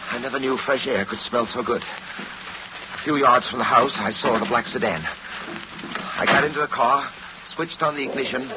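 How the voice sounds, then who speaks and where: An actor playing a grimly determined hard-boiled detective, narrating tersely and dramatically in an old-time radio noir. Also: male voice, mid-range voice, close to an everyday voice. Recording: very noisy, muffled vintage radio recording.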